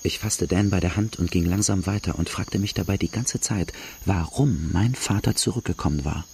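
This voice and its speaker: A man's voice: deep voice, normal speed, darkish tone, a little hiss or hum. An actor playing a rueful outlaw who recounts his hard childhood, narrating plainly in a radio drama. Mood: reflective storytelling.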